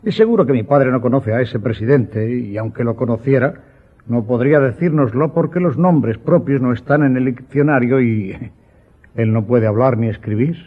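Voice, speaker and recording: A man playing a formal, evasive gentleman in a radio drama, speaking in an explanatory manner. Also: medium pitch, normal speed, lightly acted. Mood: anxious, evasive. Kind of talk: Spanish-language radio drama acting. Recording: muffled narrow-band audio, faint music.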